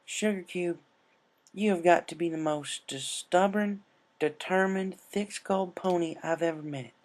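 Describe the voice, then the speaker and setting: A man's voice: high-pitched, measured pace, pitched above the performer's own voice. A man voicing a folksy, plain-spoken female farm pony who encourages her friends in a cartoon story. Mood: affectionate.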